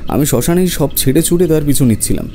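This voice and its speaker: A man's voice: on the high side, animated, fast talker, warm tone, a little hiss or hum. A man playing an earnest, insistent tantric practitioner in an audio horror drama. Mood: urgent, earnest. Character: earnest male tantric practitioner, urgently convincing a holy man. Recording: music behind the voice.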